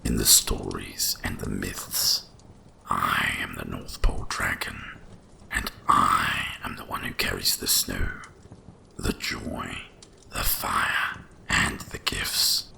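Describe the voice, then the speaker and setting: A man voicing an ancient, wise dragon guardian of winter in a slow, solemn, gravelly whisper for an ASMR roleplay. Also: fairly even intonation, pushed and bright, full character voice. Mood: grand, revealing. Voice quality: deep gravelly breathy whisper. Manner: slow, solemn, deliberate delivery.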